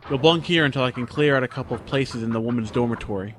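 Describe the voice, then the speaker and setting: A man playing a gruff, impatient farm overseer in an audio drama, curt and grumbling. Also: medium pitch, quick pace, fairly bright tone, lightly acted. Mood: bossy. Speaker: voice actor.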